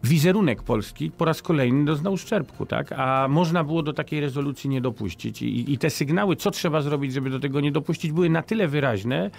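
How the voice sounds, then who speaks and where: A man's voice: fairly high pitch, a little fast, creaky, hardly any pauses. A middle-aged man, a politician guest in a radio studio interview, who stumbles through restarts before pressing his points emphatically. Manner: hesitant restarts, then emphatic and argumentative. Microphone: studio microphone on boom arm.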